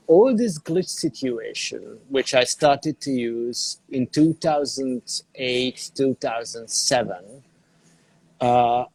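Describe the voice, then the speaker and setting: Middle-aged Hungarian man, unhurried, dark mellow timbre. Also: medium pitch, breathy edge, rough recording. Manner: unhurried, searching for words in a second language. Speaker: interview guest, digital artist. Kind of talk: casual interview on a call. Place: cafe in a small town.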